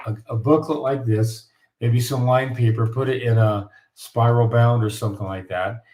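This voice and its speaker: A man with a raspy voice from the flu, hosting a live question-and-answer stream and giving casual, folksy advice. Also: medium pitch, a little mellow. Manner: folksy, repetitive coaching tone. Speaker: host of live Q&A on home building.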